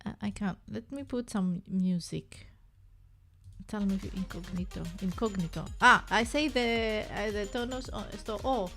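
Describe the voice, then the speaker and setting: A young woman with a Greek accent, hosting a relaxed live stream and chatting playfully with viewers while she works on a website. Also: mid-range voice, normal speed, soft background music. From Greece. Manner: chatty and playful, talks to chat viewers by name, thinks aloud while working. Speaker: livestream host.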